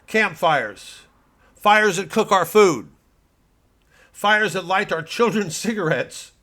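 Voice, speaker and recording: Man playing a forceful, ranting American pundit. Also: high-pitched, expressive, pitched above the performer's own voice, exaggerated voice. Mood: indignant. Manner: bombastic, emphatic, repetitive with dramatic pauses. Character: ranting, indignant right-wing American podcast pundit, self-important and muddled. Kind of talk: satirical political podcast monologue.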